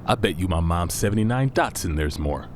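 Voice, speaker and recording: Low-voiced brash, impatient schemer. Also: male voice, lively intonation, brisk, slight background noise. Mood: scheming. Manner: blunt, slangy, pushy. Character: impatient, brash, sarcastic schemer bent on revenge. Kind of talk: naturalistic fiction podcast acting.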